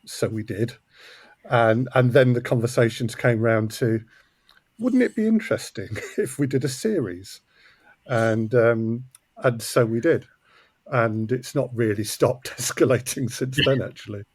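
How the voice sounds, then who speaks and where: A man's voice: medium pitch, a little slow, darkish tone, husky, dry recording. A man speaking as a podcast guest in a conversational interview, choosing his words thoughtfully and with some hesitation. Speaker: podcast guest, audio drama producer.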